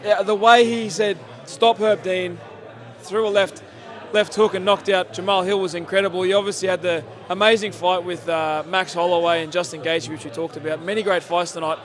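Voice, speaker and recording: A man hosting a post-fight reaction interview, hoarse from yelling, talking excitedly with many fillers. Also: high-pitched, normal speed. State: losing his voice from yelling. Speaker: host. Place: sports bar at a casino.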